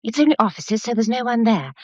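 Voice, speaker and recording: A woman's voice: a little high, expressive, fast, slightly rough, no echo, highs cut off. An actress playing an anxious, dutiful, lovestruck young woman in a radio drama, emotional but well-mannered. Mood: urgent, distressed. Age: young adult.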